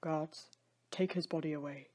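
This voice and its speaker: A woman playing a stern, protective lord with a forceful, commanding manner in a dramatic audio story. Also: sits low, fairly even intonation, measured pace. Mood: grim authority. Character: stern wronged lord, man, protective and indignant.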